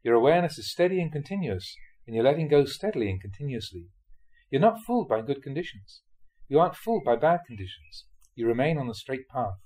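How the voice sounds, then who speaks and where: A man, a Buddhist monk, reads translated spiritual teachings aloud in a scripted audiobook style, with measured, deliberate phrasing in short clauses. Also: medium pitch, big pitch swings, darkish tone, low-quality recording. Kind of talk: scripted audiobook-style reading of dharma talks.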